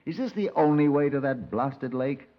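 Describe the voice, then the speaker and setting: A dull, vintage radio recording carries a man acting an exasperated detective from Scotland Yard. He speaks in a mid-pitched voice with dry sarcasm, in the manner of 1950s radio drama. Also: lively intonation, normal speed, close to an everyday voice. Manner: exasperated, sardonic.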